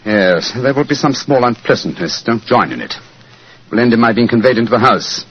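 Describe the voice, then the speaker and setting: An actor playing a confident, commanding British gentleman detective in a 1940s radio drama, speaking precisely. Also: medium pitch, expressive, moderate pace, slightly rough. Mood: instructing. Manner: precise, authoritative. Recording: muffled, hissy 1940s radio recording.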